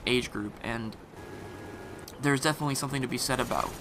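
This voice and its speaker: A man's voice: mid-range voice, a little fast. A man with a scratchy, irritated throat giving casual, rambling voice-over commentary on a game, with lots of fillers and restarts. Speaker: gaming commentator. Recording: voice-over mixed over loud game gunfire. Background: game gunfire and explosions.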